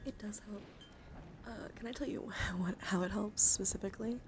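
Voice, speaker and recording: A woman chatting softly to livestream viewers in ASMR style, breathy and often whispering, with a gentle, soothing, intimate manner close to the mic. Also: medium pitch, moderate pace, background noise. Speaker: live streamer hosting ASMR. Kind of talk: ASMR, casual chat with viewers.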